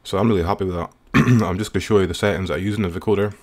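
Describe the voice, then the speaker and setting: Man, deep voice, casual tutorial narration, informal, hesitant, explanatory. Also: fairly even intonation, rapid-fire. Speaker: music producer giving a tutorial. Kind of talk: casual screen-recorded software tutorial. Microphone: voice-over recorded while working at computer.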